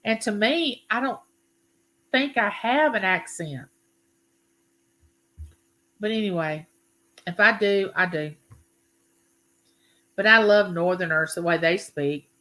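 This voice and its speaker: A woman in her fifties with a Southern US accent hosts a relaxed livestream chat, talking to viewers like neighbors. Her voice is bright and forceful, and her phrases are folksy and drawn out. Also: medium pitch, slow pace, long pauses, rough recording. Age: 50s. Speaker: YouTube livestream host. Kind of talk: casual live chat with viewers.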